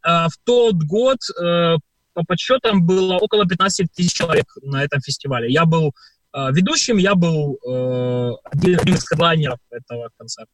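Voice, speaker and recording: A man from Ukraine talks through his answers in a radio interview, pausing often on filler sounds as he tells his stories. His voice sits fairly high for a man. The recording is dry, with no room sound. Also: no room sound, poor audio. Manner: hesitant, many filler sounds, storytelling. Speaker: performer and guest.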